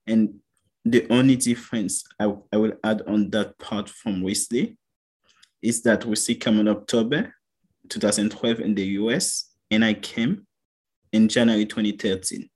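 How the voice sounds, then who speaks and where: A man in his thirties from Haiti with a Haitian accent, answering a podcast interview in friendly, simple English. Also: lowish voice. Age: 30s. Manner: friendly, simple non-native English with fillers like "like, you know". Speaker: doctoral student guest.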